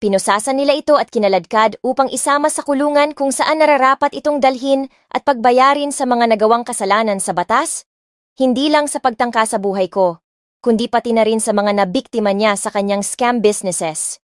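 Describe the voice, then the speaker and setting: A woman's voice: on the high side, normal speed, dry recording, clean studio sound, lightly acted. A young woman playing a lovestruck heiress, narrating her own romance story in an emotive, dramatic way. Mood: relieved. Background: music.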